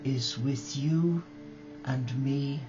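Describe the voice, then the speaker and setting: A man leading a guided meditation and prayer as a religious brother, speaking gently and reverently in short phrases with long pauses. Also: mid-range voice. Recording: dull lo-fi live recording with music.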